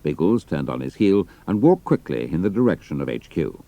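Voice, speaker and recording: A man's voice: extremely low pitch, big pitch swings, brisk, gravelly, warm tone. A man giving a dramatic scripted narration of an adventure story.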